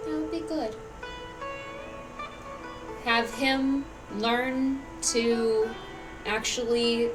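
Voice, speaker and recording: High-voiced woman streamer, somewhat distant. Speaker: livestreamer. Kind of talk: casual reaction commentary over a game. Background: game music and dialogue.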